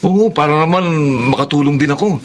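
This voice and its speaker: A man's voice: fairly high pitch, brisk, over loud music, natural voice. An actor playing a polite, helpful young male guest in a Filipino radio drama. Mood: curious, eager.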